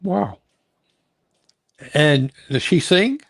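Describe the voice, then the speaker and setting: A man hosting a casual interview, asking questions in a halting way and repeating his words. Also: on the high side, expressive, creaky, no echo, clean studio sound. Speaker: interview show host.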